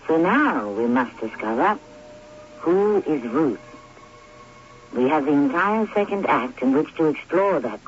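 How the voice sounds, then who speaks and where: An actor as the suave host of a radio mystery, narrating in a dramatic, literary, deliberate way on an old broadcast. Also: male voice, fairly high pitch, highs cut off.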